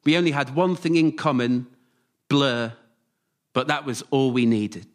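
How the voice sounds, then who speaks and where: A man performing a scripted autobiographical story live, delivered in measured short phrases. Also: mid-range voice. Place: radio theatre stage, before a live audience.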